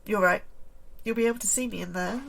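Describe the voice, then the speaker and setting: A woman's voice: medium pitch, fast talker, vocal fry, fairly bright tone, slight background noise. A woman playing an anxious, prickly astrobiologist in a science fiction audio drama, fretful and defensive, thinking aloud. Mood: uneasy, pensive.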